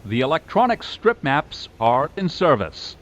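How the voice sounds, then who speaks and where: A man's voice: fairly high pitch, sing-song, measured pace, a bit bright, muffled recording. A man reading scripted public announcements as a subway announcer, with train ambience behind him.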